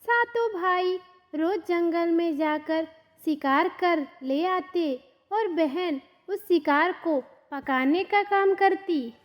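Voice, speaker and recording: A woman's voice: very high voice, lively intonation, slow pace, big-room sound. A woman narrating a scripted children's story as a storyteller.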